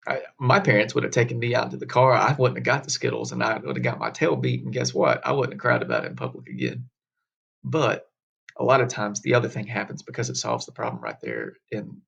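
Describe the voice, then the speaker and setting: A man's voice: medium pitch, gravelly, husky. A man teaching a history class in a folksy, conversational way, asking rhetorical questions as he tells stories. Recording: dull lo-fi classroom recording.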